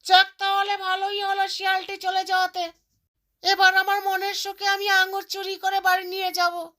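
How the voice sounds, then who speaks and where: A woman's voice: very high voice, monotone, moderate pace, pushed and bright. A woman voicing a little cartoon bird with a childlike, chattering manner. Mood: gleeful. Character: greedy, stingy little mother bird and her chirpy chicks. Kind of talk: cartoon character voice.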